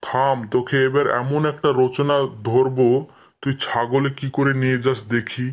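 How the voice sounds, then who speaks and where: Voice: medium pitch, level tone, normal speed, close to an everyday voice. A performer voicing a stern, sarcastic male schoolteacher in a cartoon comedy, scolding his pupils. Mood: exasperated.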